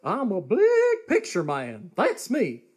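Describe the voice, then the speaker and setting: An actor playing a fussy, bossy, self-assured small-town fellow with whiny impatience in live comic radio theatre. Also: male voice, sits high, huge pitch swings, slow, darkish tone, slightly rough, exaggerated voice. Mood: smugly upbeat.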